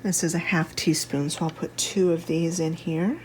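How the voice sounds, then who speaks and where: A woman with a slightly stuffy, congested voice, giving a casual, chatty home cooking demonstration at an unhurried, thinking-aloud gait. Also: low-pitched, fairly even intonation, heavy background noise. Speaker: home cook, video host. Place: home kitchen.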